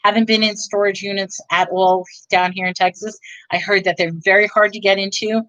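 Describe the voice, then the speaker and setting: A woman's voice: medium pitch, little pitch movement, fairly quick, bright, forceful tone, no room sound, low-quality recording. A middle-aged woman with a New York accent, chatting with viewers on a livestream, rambling and correcting herself. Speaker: reseller livestream host. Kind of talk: casual live chat with viewers.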